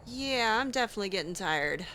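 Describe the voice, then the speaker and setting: A woman's voice: mid-range voice, lively intonation, fairly quick, pushed and bright, slight background noise. A woman doing in-character improvised narration of a video game, musing aloud to herself as she goes. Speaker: let's play host roleplaying her character.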